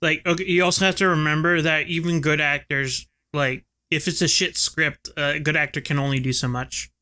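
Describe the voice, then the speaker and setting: A man's voice: on the high side, little pitch movement, moderate pace, pushed and bright. A young man co-hosting a podcast in casual, conversational talk. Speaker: podcast co-host. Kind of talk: casual chat.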